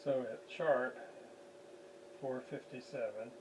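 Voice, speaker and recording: A man giving an unscripted hands-on technical demonstration, haltingly thinking aloud as he works at radio repair. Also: medium pitch, fairly even intonation, background noise.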